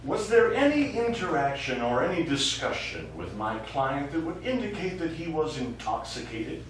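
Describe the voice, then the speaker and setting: A man's voice: fairly high pitch, wildly swooping pitch, normal speed. A male actor as a pompous, ingratiating trial lawyer who stammers his way along. The sound is very echoey and distant, as from a stage, with faint noise behind it. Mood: officious. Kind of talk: live stage play acting. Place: small theatre studio, recorded from the audience.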